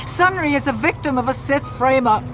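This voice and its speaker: A woman's voice: high voice, animated, a little fast, background noise. A woman playing an earnest, loyal wife in a video game, pleading and insistent. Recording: loud music under the voice.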